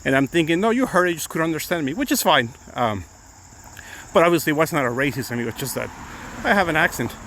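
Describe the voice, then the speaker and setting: A man's voice: fairly high pitch, animated, fast, fairly bright tone. Middle-aged man with a Mexican accent, Spanish native, rambling live-stream chat outdoors, phone mic, faint noise behind. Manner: chatty, rambling, many restarts. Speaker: attorney vlogger. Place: outdoors on a morning walk.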